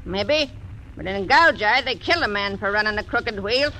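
A blunt frontier widow of fifty. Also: female voice, medium pitch, expressive, moderate pace, bright, forceful tone, low-quality recording. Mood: stern. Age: 50s. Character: tough frontier woman around fifty, blunt. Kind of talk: 1940s radio acting. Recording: old radio broadcast with faint hiss.

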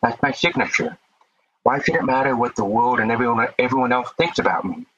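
A man's voice: medium pitch, brisk, a bit bright, dull audio. A man with a deaf accent, a podcast guest speaking earnestly about his life, sometimes repeating words as he starts. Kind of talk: casual interview talk.

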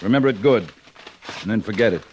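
A man's voice: lowish voice, fast talker, darkish tone, a little hiss or hum, close to an everyday voice. A man playing a stern, curt cavalry captain in an American radio western, clipped and commanding. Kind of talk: old-time radio western acting. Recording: dull vintage radio recording.

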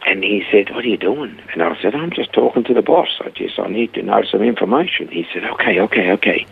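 Older Swiss man, rough, low voice, a guest acting out his own stories on radio. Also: quick pace, narrow, tinny audio. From Switzerland. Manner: animated storyteller acting out quoted dialogue. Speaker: guest telling his life story. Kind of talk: casual storytelling interview.

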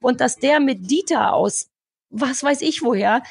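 Gravelly-voiced woman ranting on a podcast. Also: sits high, unhurried, no echo, studio quality. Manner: animated, ranting, blunt with swearing. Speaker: podcast co-host. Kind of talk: casual review chat.

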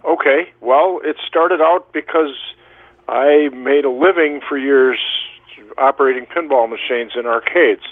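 A man's voice: mid-range voice, unhurried. An older man being interviewed on a phone-like radio line, telling his story casually in a plainspoken, self-deprecating way. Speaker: interview guest, pinball museum founder.

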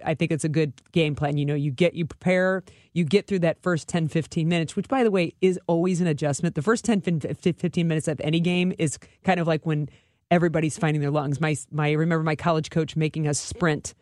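A woman's voice: sits low, fairly even intonation, a little fast, a little mellow. An American woman co-hosts a sports radio show in an informal, conversational style. She phrases things loosely and repeats words for emphasis. The sound is dry and studio-like, without echo. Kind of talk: casual sports chat.

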